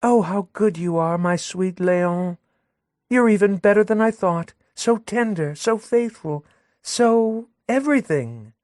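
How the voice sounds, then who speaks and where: A man's voice: sits high, a little slow, darkish tone, no room sound, studio quality, pitched above the performer's own voice. A man voicing a coy, jealous young woman who sweet-talks her lover and gossips with a catty edge. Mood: cooing.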